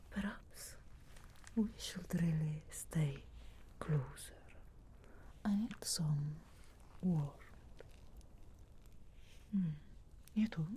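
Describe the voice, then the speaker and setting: A woman performs a teasing, sharp-tongued young witch in a fantasy ASMR roleplay. She whispers low and mellow, close to the microphone, drawing each phrase out very slowly with an intimate, measured delivery. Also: slight background noise. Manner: slow, intimate, measured delivery with long pauses.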